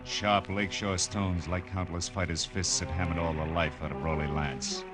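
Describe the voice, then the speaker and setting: A man's voice: low voice, steady tone, normal speed, natural voice. An actor playing a tough, wry private detective in a 1940s radio drama, clipped and sardonic, slinging slang as he talks and narrates. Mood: grim, narrating. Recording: vintage radio broadcast with faint music.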